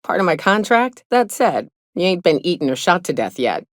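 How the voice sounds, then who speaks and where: Game role: hardened female monster hunter, low-pitched, blunt, folksy and world-weary, close dry sound. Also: very animated, normal speed, no echo. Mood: gruff, warming. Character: tough, hard-drinking, haunted female monster hunter and mercenary. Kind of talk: video game character dialogue.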